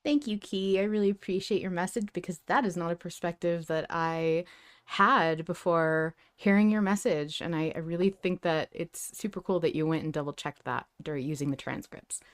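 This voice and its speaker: A woman's voice: fairly low pitch, normal speed. A woman hosting a podcast in casual chat, teasing and informal and free with swearing. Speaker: podcast host.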